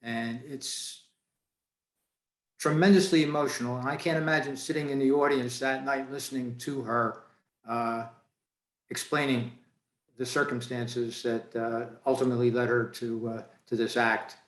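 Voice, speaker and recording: A man chatting on a video call as a club member, earnest and halting. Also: mid-range voice, steady tone. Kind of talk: casual chat. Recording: roomy, echoey video call.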